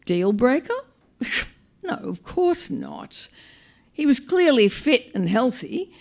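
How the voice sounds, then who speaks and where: A woman reading a short story live to an audience, with wry comic narration, dramatic emphasis and deliberate pauses. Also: fairly high pitch, big pitch swings, vocal fry, darkish tone. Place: live on stage at a performance venue.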